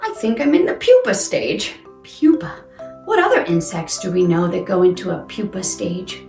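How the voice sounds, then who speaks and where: A woman's voice: lowish voice, big pitch swings, moderate pace, creaky, a little mellow. A woman presenting playful science lessons and read-alouds for children in an exaggerated, questioning, exclamatory way. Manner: exaggerated, exclamatory, asks questions of young listeners. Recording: roomy echo with music underneath. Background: music.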